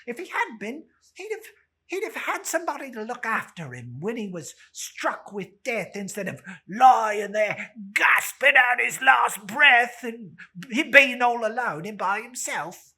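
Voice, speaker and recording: A woman's voice: on the high side, big pitch swings, measured pace, bright, forceful tone, breathy edge, voice pushed up, heavily acted. A woman voicing a brazen, defiant working-class charwoman with a scolding manner in a dramatic storybook reading. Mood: scornful, indignant.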